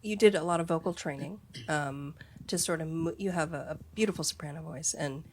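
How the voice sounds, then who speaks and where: A woman's voice: sits low, fairly quick. A woman interviewer asking enthusiastic, somewhat rambling questions.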